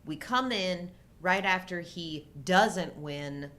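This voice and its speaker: A middle-aged woman co-hosting a show in casual movie chat, talking informally in halting fragments. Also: a bit bright. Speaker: co-host of a live chat show. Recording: low rumble and background noise.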